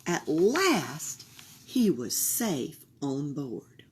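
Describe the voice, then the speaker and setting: A woman reading a children's picture book aloud for a library storytime, expressive and sometimes dropping into a whisper. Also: low voice, drawn-out words, a little hiss or hum. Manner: expressive, drops into whispers for suspense.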